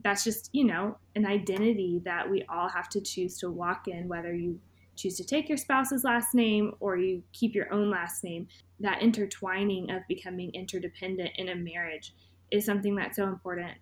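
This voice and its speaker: A woman's voice: mid-range voice, steady tone, moderate pace, a little hiss or hum. A woman from Tennessee, a podcast guest, talking earnestly and heartfelt about her marriage. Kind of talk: personal conversation.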